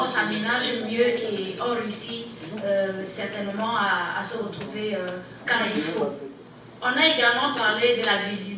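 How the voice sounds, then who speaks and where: A woman presenting a group report to a party meeting, halting and repeating words as she goes. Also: on the high side. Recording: echoey hall, distant mic, background noise.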